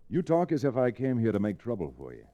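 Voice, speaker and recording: An actor playing a confident, educated American Western hero in an old-time radio drama, assured and plain-spoken. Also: male voice, mid-range voice, wildly swooping pitch, fast, dark tone, muffled recording. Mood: calm, bargaining. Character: confident, educated Western lawyer-rancher hero, shrewd and unflappable. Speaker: radio actor, lead and narrator. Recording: restored vintage radio recording.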